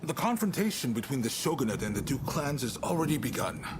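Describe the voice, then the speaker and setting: Over clearly noisy ambience, an actor voices a reflective male mentor from a samurai video game. His voice sits at an average male pitch, and he talks in a wry, conversational way, musing as he advises. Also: very animated, a little fast, lightly acted. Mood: probing, resolute. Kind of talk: video game cutscene acting.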